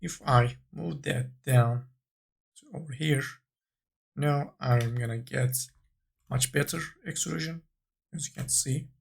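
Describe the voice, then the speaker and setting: A man with a gravelly, husky voice talks through a software tutorial. He goes slowly, in short halting phrases, thinking aloud as he works through each step. His delivery is unscripted and instructional. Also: mid-range voice.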